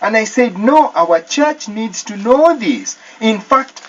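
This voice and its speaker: Kenyan man, earnest radio guest, higher voice, distant dull recording. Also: animated, slow, slight background noise. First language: Kikuyu. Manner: earnest, upbeat, says "actually" often. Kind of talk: radio interview.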